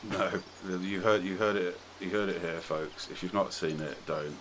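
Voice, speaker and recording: A man's voice: low-pitched, steady tone, normal speed, fairly bright tone, a little hiss or hum. A British man bantering casually on a podcast in a wry, jokey, informal way. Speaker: podcast speaker.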